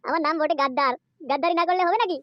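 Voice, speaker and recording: Cartoon village traitor played by a woman: sly, lecherous man, high-pitched, gossiping crudely. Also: rapid-fire, no echo, highs cut off, close to an everyday voice. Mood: sly, scheming. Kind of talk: amateur cartoon dubbing.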